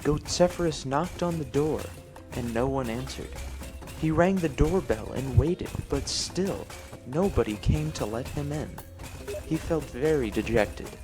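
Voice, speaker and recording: A man improvising a mock-storybook narration over gameplay in a mock-serious, deadpan way. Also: medium pitch, measured pace. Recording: music behind the voice. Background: clear background music.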